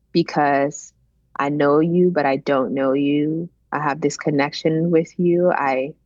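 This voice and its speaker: Low-voiced, reflective woman sharing her story. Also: darkish tone, no room sound, dull audio. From Benin, later grew up in the United States. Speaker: interview guest, chef. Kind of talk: personal storytelling in an interview.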